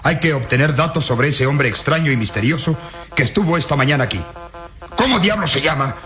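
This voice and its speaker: An actor playing a burly, irritable commander who barks orders imperiously in a radio drama. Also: male voice, on the high side, expressive, fairly quick, bright, forceful tone, soft background music. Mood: suspicious, impatient. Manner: gruff, imperious, barking orders. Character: burly, irritable, bossy police or military commander. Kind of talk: Latin American radio drama acting.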